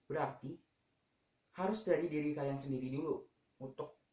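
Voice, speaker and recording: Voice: mid-range voice, moderate pace, mellow. Teenage student vlogger, emphatic informal presenting, rhetorical questions, roomy echo. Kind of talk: informal school-assignment presentation to camera. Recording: echoey room.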